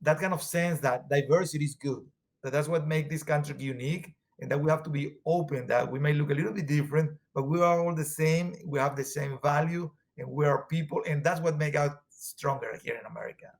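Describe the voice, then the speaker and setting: A man with a Venezuelan Spanish accent talks as a guest in a conversation. His voice sits fairly high, and he speaks earnestly, pausing and restarting with fillers as he looks for words. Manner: earnest, halting, with frequent fillers. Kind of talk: conversational interview.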